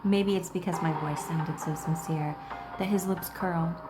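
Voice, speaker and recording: Low-pitched irreverent woman gamer. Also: moderate pace. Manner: irreverent, salty, expressive. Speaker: gaming video host. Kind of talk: reading game dialogue aloud with casual commentary. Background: game music.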